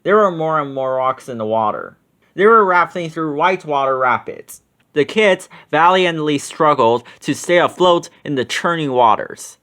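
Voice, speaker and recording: A man reading a children's story aloud in an expressive narrating style. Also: fairly high pitch, moderate pace, clean tone. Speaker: storybook reader.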